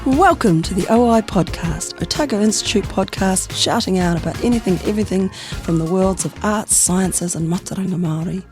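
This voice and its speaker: A woman hosting a radio podcast, her voice a bit croaky; she chats loosely, laughs often and trails off mid-thought. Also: medium pitch, brisk, music in the background. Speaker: podcast host. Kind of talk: relaxed radio podcast conversation. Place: radio studio.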